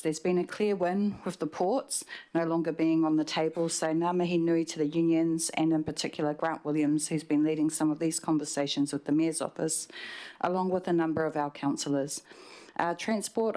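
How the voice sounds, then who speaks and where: Low-voiced young councillor woman reading. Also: dark tone. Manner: reads prepared remarks, uses Māori phrases. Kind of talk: formal council debate speech. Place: large council chamber indoors. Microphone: desk microphone.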